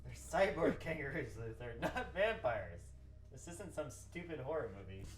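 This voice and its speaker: A man acts a swaggering, hot-headed scientist in a radio play. The voice is fairly high, swings widely in pitch and sounds exasperated, picked up from a distance in a roomy space. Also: very animated, moderate pace. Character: hot-headed, foul-mouthed, defiant male scientist. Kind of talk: amateur radio play table read. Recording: roomy, distant mic with music behind.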